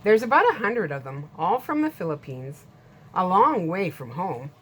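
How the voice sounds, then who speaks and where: A woman's voice: lowish voice, sing-song, normal speed, a bit bright, slightly rough, exaggerated voice. A woman voicing a frightened male boatman in a storybook reading, speaking in a stammering, nervous way.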